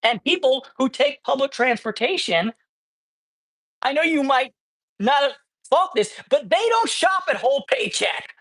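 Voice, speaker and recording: A man's voice: extremely high pitch, big pitch swings, a little slow, a bit bright. Guy ranting on a cat livestream, swears a lot, keeps restarting his sentences. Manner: ranting, profane, rambling with many restarts. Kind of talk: casual livestream chat. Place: cat colony.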